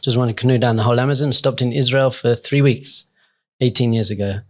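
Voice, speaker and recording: A man talks as a guest in a conversational interview. His voice sits at a middle pitch. He is earnest and reflective, explaining his ideas in informal, everyday language. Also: fairly even intonation, fast talker. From England. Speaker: rabbi and podcast guest.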